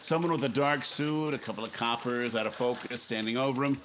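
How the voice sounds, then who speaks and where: A man's voice: mid-range voice, a little fast, a bit bright, slight background noise, natural voice. A man playing a cynical, hard-boiled newspaper editor in a 1950s-style radio drama, clipped and matter-of-fact. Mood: coolly scheming. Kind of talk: 1950s radio drama acting and first-person narration.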